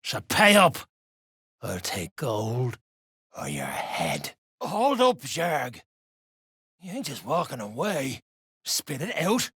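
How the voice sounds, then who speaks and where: An actor playing a foul-mouthed, surly duergar dwarf fighter in a video game, with a rough, husky voice and coarse slang. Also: male voice, medium pitch, huge pitch swings, slow, long pauses, bright, forceful tone, dry recording, studio quality, heavily acted. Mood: angry, threatening. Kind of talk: video game character lines.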